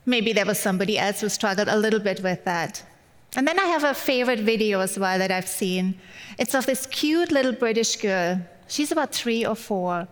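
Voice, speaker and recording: A woman's voice: mid-range voice, animated, moderate pace. A woman in her forties from Germany with a German accent, giving a chatty, encouraging Mother's Day sermon as a guest speaker. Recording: long hall reverb.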